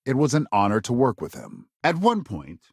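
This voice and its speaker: An actor playing a polished news anchor in a spacefaring future video game, with measured, emphatic, dramatic newsreader phrasing. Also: male voice, mid-range voice, huge pitch swings, vocal fry, slightly rough, dry recording, studio quality, heavily acted. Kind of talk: scripted broadcast news delivery.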